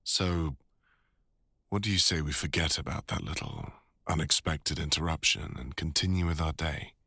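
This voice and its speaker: A man with a deep British voice playing a devoted, teasing older boyfriend and father in an intimate ASMR audio roleplay. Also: moderate pace, pushed and bright, husky, no room sound, studio quality, exaggerated voice. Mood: affectionate, gentle.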